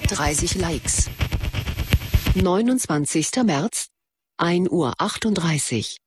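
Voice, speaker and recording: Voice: medium pitch, animated, slow pace, slightly rough, no echo, studio quality. An announcer in a radio drama reading out short scripted entries in a formal announcing style. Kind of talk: scripted announcing of dates and like counts.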